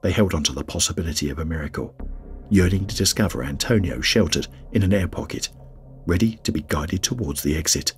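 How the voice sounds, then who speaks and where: A man reading a scripted documentary narration in a grave, measured way. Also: deep voice. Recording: faint music bed under voice-over.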